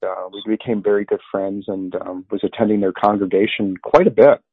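A middle-aged man tells his story in an earnest, rambling way, often restarting his sentences. His voice sits on the low side and sounds dry and close. The sound is narrow and tinny, like a phone line. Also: fairly even intonation, fairly quick, slightly rough, no room sound. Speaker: interview guest. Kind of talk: conversational testimony.

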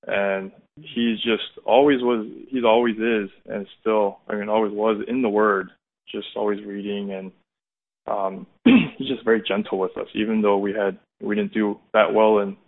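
Phone-muffled American man, low-voiced. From United States, California and Indiana. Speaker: interview guest giving testimony. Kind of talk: personal testimony, conversational storytelling. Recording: muffled, narrow phone-like line.

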